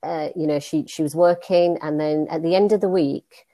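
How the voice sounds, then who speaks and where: A middle-aged woman from Leeds with a Northern English accent, a guest telling stories in a relaxed interview with many fillers and restarts. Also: low-pitched, moderate pace, no echo. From Leeds, England. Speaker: guest, money advisor. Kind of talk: conversational interview.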